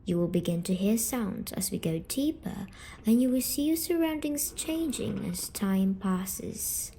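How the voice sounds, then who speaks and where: A woman performing scripted ASMR roleplay as a gentle, patient, eerie demon visitor, speaking softly and coaxingly and slipping into whispers. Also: medium pitch, lively intonation, a little hiss or hum. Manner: soft, slow, coaxing delivery slipping into whispers. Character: gentle, patient, eerie female sleep paralysis demon comforting a dreamer. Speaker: ASMR audio storyteller.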